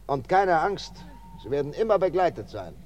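An actor playing a calm, reassuring police inspector in a German radio crime play, measured and methodical. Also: male voice, fairly high pitch, slight background noise.

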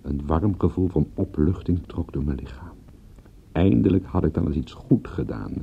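Husky, restless private detective. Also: male voice, extremely low pitch, moderate pace, lo-fi sound, heavily acted. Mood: measured narration. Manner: stammering, restless, self-reproaching. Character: agitated, self-doubting male private detective, dogged. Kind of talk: Dutch radio play dialogue and first-person narration.

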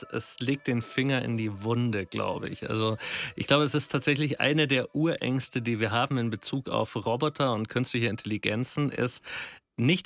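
A man's voice: medium pitch, vocal fry, a bit bright, dry recording. A man, recorded close and dry. He thinks aloud in a conversational discussion, wordy and colloquial, circling his point reflectively.

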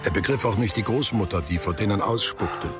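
Low-voiced male narrator over music. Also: a little fast. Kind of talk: literary scripted narration in a radio drama.

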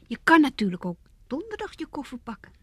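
An actress playing a self-assured, coaxing woman in a radio drama, with a very breathy, husky voice and a teasing manner. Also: high-pitched, very animated, a little fast, a bit bright, no room sound.

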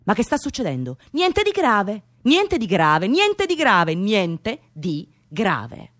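A woman's voice: medium pitch, huge pitch swings, slow, fairly bright tone, dry recording, heavily acted. A woman acting in a radio play as an unstable figure, repeating words obsessively in theatrical outbursts. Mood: agitated. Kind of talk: theatrical radio drama monologue.

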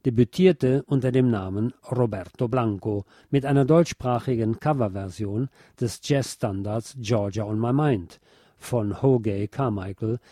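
A man reading a scripted documentary narration in a measured, articulate way. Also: mid-range voice, dark tone, no room sound, studio quality.

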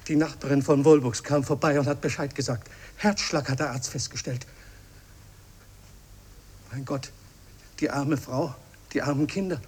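An actor playing a shaken, deferential school employee bringing bad news in a mid-century radio drama. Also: male voice, medium pitch, steady tone, normal speed, pauses often, darkish tone, close to an everyday voice. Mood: grieving. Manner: deferential, agitated. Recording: noisy old radio play recording.

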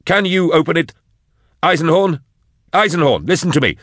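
A man voicing an anxious young male companion, pushing his voice higher and pleading. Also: lively intonation, moderate pace, fairly bright tone, no room sound, studio quality. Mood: urgent.

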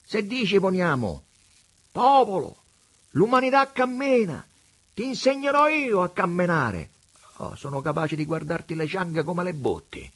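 Man putting on a shrill mocking old vagabond. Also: big pitch swings, a little slow, fairly bright tone, full character voice. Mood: ironic flattery. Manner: mock-pious, sweeping high declamation. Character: mocking sly male vagabond, theatrical and ironic.

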